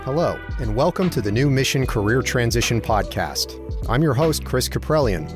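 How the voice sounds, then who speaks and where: A man's voice: medium pitch, lively intonation, moderate pace, over loud music. A man hosting a podcast, talking in a friendly, chatty way as he introduces the show and his guest. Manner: friendly, talkative, reminiscing. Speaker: podcast host.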